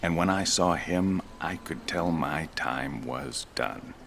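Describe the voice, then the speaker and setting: A very low, slow old soldier reflects like a retired ace in a game's documentary interview. He is weary and resigned, measuring out each phrase, with gravel in the voice and faint noise behind. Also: fairly bright tone, full character voice. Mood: reflective, resigned. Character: weary old veteran ace pilot and former flight instructor, resigned.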